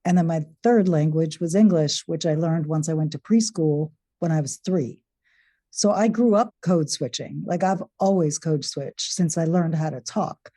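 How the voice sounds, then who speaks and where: A woman, a guest on a relationship podcast, speaking in a calm, reflective way. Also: deep voice, moderate pace, dry recording, clean studio sound. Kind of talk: reflective conversation.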